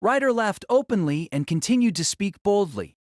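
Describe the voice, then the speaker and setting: A man's voice: high voice, big pitch swings, moderate pace, no room sound. Male story narrator, dry and close. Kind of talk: dramatic scripted story narration.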